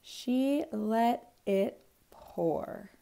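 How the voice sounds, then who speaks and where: A woman reads a picture book aloud for young children. Her voice sits a little high yet has a soft, mellow tone with noticeable creak. She phrases the story clearly and expressively, like a teacher at story time. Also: expressive, very slow. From Canada. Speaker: primary school teacher reading aloud.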